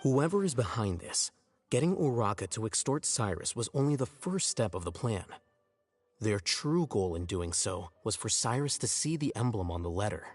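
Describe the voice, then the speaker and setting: An actor playing a self-assured, logical young scholar in a video game, precise and matter-of-fact. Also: male voice, lowish voice, big pitch swings, moderate pace, a little mellow, slightly rough. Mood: analytical.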